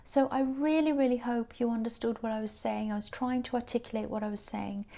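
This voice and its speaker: A tired woman, giving a gentle, reassuring tarot reading that sometimes drops to a whisper. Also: on the high side, fairly even intonation, fairly quick, gravelly. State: tired, croaky voice. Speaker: tarot reader.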